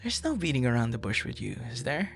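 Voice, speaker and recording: A man acts out a charming, easily flustered young guy in an intimate boyfriend-style audio roleplay. His speech is lively and melodic with a gravelly creak at the edges. He chats casually, teasing and tripping over his words, with faint music underneath. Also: medium pitch. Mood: chatty, lightly flustered. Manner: chatty, teasing, halting with playful stammers. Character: friendly, flustered young male traveler charmed by a stranger.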